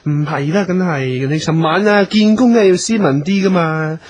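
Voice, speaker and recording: A man playing an excitable, fussy, fawning talent manager in a radio comedy drama, with an effusive, wheedling delivery and a Hong Kong Cantonese accent. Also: fairly high pitch, normal speed, no echo, lightly acted. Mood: coaxing.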